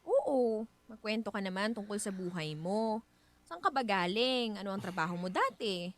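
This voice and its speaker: A woman's voice: medium pitch, expressive, moderate pace, lightly acted. A woman acting as a curious, teasing married woman with a Filipino accent in a radio drama. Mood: friendly, curious. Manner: teasing, inquisitive, chatty. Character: curious, playful, prying married woman hosting a guest.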